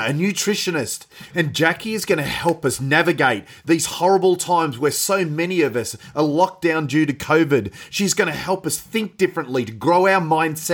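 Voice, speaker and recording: A man's voice: a little high, fairly bright tone. A man hosting an interview podcast, introducing his guest with enthusiasm and talking bluntly, with a bit of casual swearing. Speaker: podcast host.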